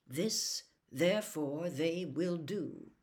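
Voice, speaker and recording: A woman voicing a grave, calm old male headmaster, a wizard with measured, deliberate delivery in a storybook reading. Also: sits low. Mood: grave, resigned.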